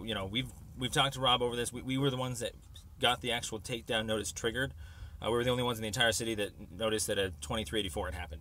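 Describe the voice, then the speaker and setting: Bright-voiced man rambling through a voicemail. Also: lowish voice. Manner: halting, hesitant, piecing thoughts together. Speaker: caller leaving a voicemail. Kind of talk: rambling informal phone message. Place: voicemail left over the phone. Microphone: telephone.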